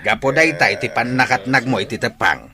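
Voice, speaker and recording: A man's voice: medium pitch, animated, brisk, a crowd in the background, close to an everyday voice. A man playing a concerned, matter-of-fact helper tending to a patient in a radio drama. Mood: concerned, instructive.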